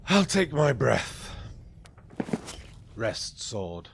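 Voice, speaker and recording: An actor playing a weary, noble warrior in a solemn Shakespearean audio drama. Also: male voice, medium pitch, sing-song, creaky, background noise, full character voice. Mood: weary, spent. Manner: slow, solemn delivery. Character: weary noble Trojan warrior, done with fighting.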